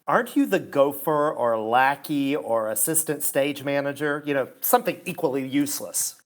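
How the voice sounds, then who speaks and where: A man's voice: medium pitch, natural voice. An actor playing a pompous, condescending male theatre critic in a radio play, haughty and sneering. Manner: haughty, condescending, slow and deliberate insults.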